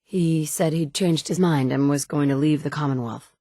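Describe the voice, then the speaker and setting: A steady wasteland survivor. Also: female voice, sits low, moderate pace, no echo. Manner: direct, firm. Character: capable adult woman survivor, steady and direct. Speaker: player character. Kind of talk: video game dialogue. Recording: dry studio recording.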